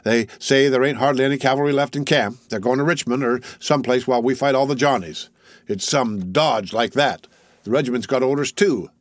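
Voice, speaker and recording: A boastful tall young soldier, put on by a man reading a story, his voice lifted well above the narrator's own and a touch louder. He talks in a folksy, drawling way, laying down the law to his comrades in a dry, close-sounding read. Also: mid-range voice, brisk, dry recording, voice pushed up, close to an everyday voice. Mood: insistent. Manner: drawling, folksy, sure of himself.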